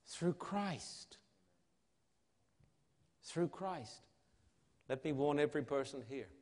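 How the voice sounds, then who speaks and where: A man delivers a sermon in a somewhat high-set voice. He speaks very slowly and emphatically, leaving weighty pauses and posing rhetorical questions. Long reverberation from a large hall trails his words. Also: expressive, a little mellow. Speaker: preacher. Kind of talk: evangelistic sermon.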